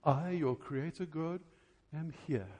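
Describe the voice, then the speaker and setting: A man preaching an impassioned church sermon, emphatic, with rhetorical questions and deliberate stresses on key words. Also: fairly high pitch, a little mellow.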